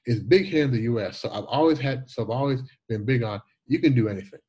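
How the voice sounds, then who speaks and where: Brief: Jamaican-accented man, 40s, husky, slight lisp, animated storyteller who restarts his words. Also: medium pitch, fast. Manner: animated, repeats words and restarts, laughs easily. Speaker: guest. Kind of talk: conversational storytelling interview.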